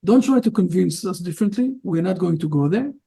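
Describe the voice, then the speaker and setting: Gravelly high-pitched man, halting English. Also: expressive, dark tone. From Israel. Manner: halting non-native English with frequent fillers. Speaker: rabbi. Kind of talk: interview guest.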